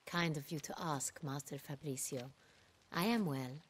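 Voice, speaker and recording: Regal bossy duchess for a game, slightly low voice, formal and commanding. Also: female voice, husky. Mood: gracious, regal. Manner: formal, authoritative, measured. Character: imperious, commanding young duchess, regal and sharp-tempered. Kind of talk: video game voice acting.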